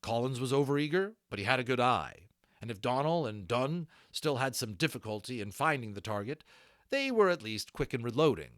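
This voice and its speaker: A man narrates a storybook with lively, swinging intonation. His delivery is expressive, and the sound is dry and close. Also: medium pitch, brisk, dry recording. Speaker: audiobook narrator. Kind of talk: expressive storybook narration with brief asides to listeners.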